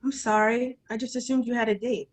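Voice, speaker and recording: A woman playing a kindly, apologetic nanny in a gentle, conversational way at a screenplay table read. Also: fairly high pitch, fairly even intonation, a little fast, close to an everyday voice. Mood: tentative, apologetic. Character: kindly, apologetic female nanny, eager to help.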